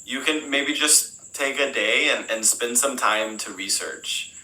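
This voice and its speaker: Bright-voiced American man, rambling casually. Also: medium pitch, a little slow, roomy sound, rough recording. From United States. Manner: relaxed, rambling, frequent fillers and restarts. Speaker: English teacher. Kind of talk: casual interview answers.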